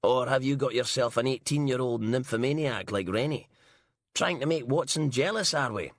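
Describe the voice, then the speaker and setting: A man's voice: medium pitch, normal speed, no echo, clean studio sound, pitched above the performer's own voice, lightly acted. A man reading an audiobook and voicing a crude, sarcastic female police inspector. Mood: mocking.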